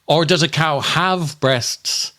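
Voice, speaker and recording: A man delivering a scripted comic monologue as a podcast host, in a grumpy, exasperated, mock-appalled and deliberate way. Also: fairly high pitch, bright, forceful tone.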